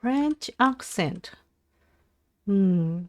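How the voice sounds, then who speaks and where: A Japanese woman with a Japanese accent, practising language aloud on a casual livestream in a halting, hesitant way. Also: medium pitch, lively intonation, a little mellow, dry recording, studio quality. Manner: hesitant, halting English with self-corrections. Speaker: live streamer learning English. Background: computer keyboard typing and clicking.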